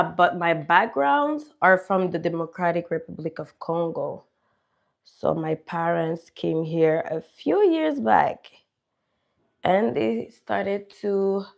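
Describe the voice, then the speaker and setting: Slow, gravelly-voiced young woman. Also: on the low side, darkish tone. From Canada. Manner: chatty, greets viewers by name. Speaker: livestream host. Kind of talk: casual livestream chat. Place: home in a cold region.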